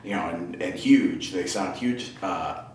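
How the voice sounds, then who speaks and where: Low-voiced enthusiastic male interviewer. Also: measured pace, darkish tone. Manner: enthusiastic, laughs often. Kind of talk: casual interview chat. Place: indoor concert venue. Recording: roomy, faint background noise.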